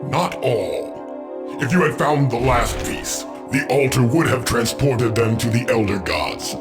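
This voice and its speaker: A man's voice: mid-range voice, measured pace, lightly acted. Guy playing evil dragon king for a fantasy game, gloaty n pompous, echoey voice, music behind. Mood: cold menace. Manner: pompous, theatrical, menacing. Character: male demonic dragon king, gloating and imperious. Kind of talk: dramatic game villain acting. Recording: echoing, loud music behind.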